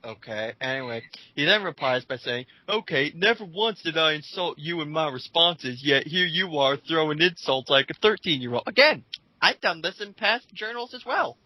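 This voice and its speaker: A man's voice: a little high, lively intonation, moderate pace, pushed and bright, low-quality recording. A 19-year-old man in a casual, blunt, sweary rant, reading comments aloud as a commentator.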